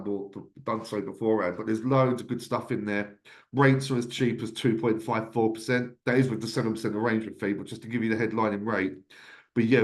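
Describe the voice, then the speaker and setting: A man presents to an online business audience. His delivery is informal and colloquial, with clipped words like 'em for them. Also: fairly low pitch. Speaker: lender's sales manager presenting. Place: online webinar.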